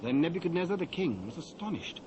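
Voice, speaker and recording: A man with a British accent narrating scripture in a measured, solemn, formal and dramatic way. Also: on the high side, very animated, darkish tone, low-quality recording. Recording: faint hiss, old cassette transfer.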